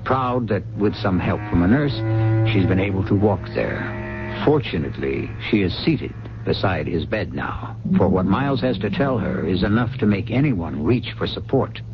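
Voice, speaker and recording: A man's voice: deep voice, animated, normal speed. A man giving scripted narration as the host of a 1970s radio mystery drama. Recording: dull 1970s radio recording. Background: music.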